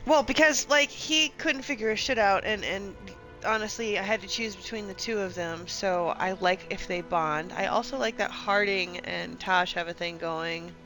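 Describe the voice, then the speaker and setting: A woman commenting casually while streaming a video game. Her voice is bright and crisp-edged at a middle pitch, with some music faintly behind her. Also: moderate pace, poor audio. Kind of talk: casual live gameplay commentary.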